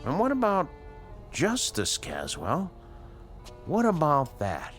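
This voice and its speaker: An earnest, learned American doctor in a radio drama. Delivery is unhurried and articulate, with a very lively pitch that leans into each question. Music runs underneath. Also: male voice, exaggerated voice. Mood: grave, probing. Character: earnest scientist, educated, patient, morally probing male doctor.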